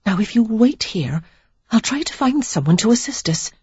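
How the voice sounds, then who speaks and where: A woman's voice: a little high, big pitch swings, moderate pace, a little mellow, slightly rough, no echo, voice pushed up, full character voice. A woman doing a timid, prim young lady. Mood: tentative. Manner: tentative, polite and flustered. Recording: dry, slightly dull recording.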